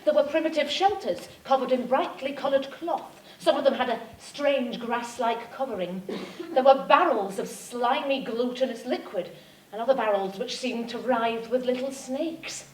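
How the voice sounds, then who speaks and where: A woman's voice: on the high side, normal speed, lightly acted. A woman playing a polite, earnest, admiring film actress in a live comic radio parody. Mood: solemn narration. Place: theatre stage. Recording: echoey stage, distant mic, faint noise.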